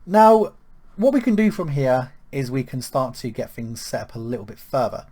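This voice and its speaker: A man from the UK talking through a software setup step by step, with lots of ums and small restarts. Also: medium pitch, normal speed. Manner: relaxed, filler-heavy walkthrough with frequent ums. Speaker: web tutorial presenter. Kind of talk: screen-recorded software tutorial.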